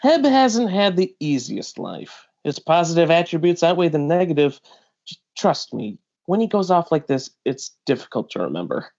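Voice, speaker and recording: A man's voice: a little high, sing-song, moderate pace, creaky, darkish tone, highs cut off. Man reading a wry, seasoned pirate bounty hunter. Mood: weary, patient.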